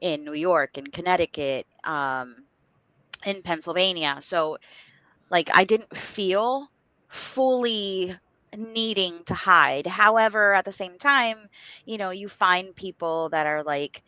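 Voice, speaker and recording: A woman from Puerto Rico on a podcast panel, speaking in a measured, reflective way. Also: fairly low pitch, sharp tone, smooth, no echo, poor audio. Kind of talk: casual panel chat.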